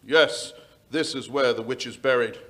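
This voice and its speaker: A man playing a genteel, easily astonished English gentleman, exclamatory and a little hesitant, in a radio play. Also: mid-range voice, moderate pace, lightly acted. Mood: alarmed. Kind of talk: old-time radio drama acting. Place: small indoor stage, live performance. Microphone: vintage-style stand microphone.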